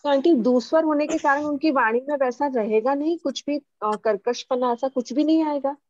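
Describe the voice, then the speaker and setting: High-voiced woman student asking questions. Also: normal speed, darkish tone, no echo, muffled recording. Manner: inquisitive, asks follow-up questions politely.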